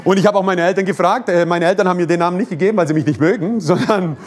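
Casting: male preacher, high voice, fervent with joking asides. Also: rapid-fire. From Croatia. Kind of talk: sermon and prayer.

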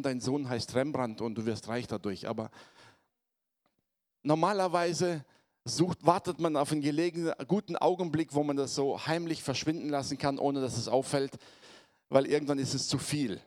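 A man preaching to his congregation, hoarse from a cold, speaking in a conversational way and often checking in with his listeners. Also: a little high, moderate pace, darkish tone. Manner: conversational, addresses congregation with tag questions. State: has a cold, voice affected. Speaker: pastor. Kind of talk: sermon.

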